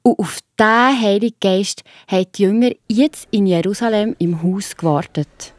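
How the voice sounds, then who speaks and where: A woman's voice: mid-range voice, moderate pace, no room sound. A woman with a Swiss German accent narrating a children's Bible story in a scripted storytelling style.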